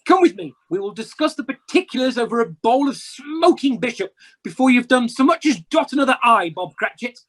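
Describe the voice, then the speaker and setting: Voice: medium pitch, animated, lightly acted. Someone acting a theatrical, repentant old miser. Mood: joyful, generous. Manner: theatrical, deliberate, emphatic. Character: reformed old miser uncle, gleefully repentant, teasing. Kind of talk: amateur radio play acting. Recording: home video call audio.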